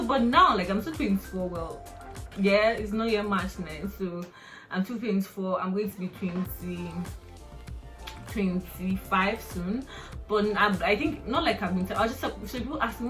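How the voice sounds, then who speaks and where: A woman's voice: mid-range voice, fairly bright tone. A woman in her twenties with a Nigerian accent, chatting in a rambling, laughing way on her own vlog. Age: 20s. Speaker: vlogger. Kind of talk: casual personal vlog.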